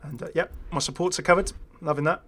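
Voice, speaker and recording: A man's voice: fairly high pitch, steady tone, fast, a bit bright. A man hosting a gaming video with casual, unscripted commentary. He is chatty and hesitant, thinking aloud and dropping wry asides.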